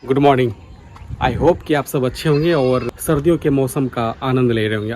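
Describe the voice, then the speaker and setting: An Indian man talking casually and earnestly to his viewers as a vlogger, mixing in English words. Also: mid-range voice. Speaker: vlogger, radio enthusiast. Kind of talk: casual vlog talk to viewers. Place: outdoors on a foggy morning.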